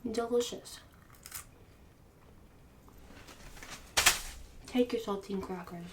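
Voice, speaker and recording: A woman's voice: mid-range voice, moderate pace, distant mic, heavy background noise, close to an everyday voice. A woman playing a snobbish, mean suburban book club hostess in an ASMR roleplay, partly whispered, with condescending, fake-polite emphasis. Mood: snooty indignation.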